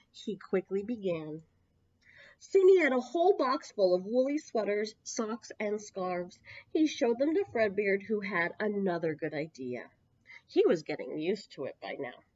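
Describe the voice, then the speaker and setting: Woman, children's storyteller, medium pitch, expressive emphasis, stretched key words, dull close recording. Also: unhurried, dry recording. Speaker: children's storyteller at a public library. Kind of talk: expressive picture-book read-aloud for young children. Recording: dull lo-fi, close dry sound.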